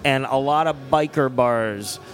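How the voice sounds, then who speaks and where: A man's voice: mid-range voice, measured pace. A man in his forties co-hosting a music podcast, joking and rambling in self-mocking casual chat. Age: 40s. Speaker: co-host and guitarist.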